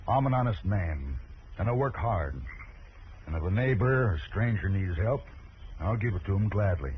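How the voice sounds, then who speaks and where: A man playing a stern, proud older cattle rancher in a radio western, gruff and deliberate. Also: on the low side, lively intonation, narrow, tinny audio, natural voice. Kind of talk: 1950s radio western acting. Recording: thin, noisy old radio recording.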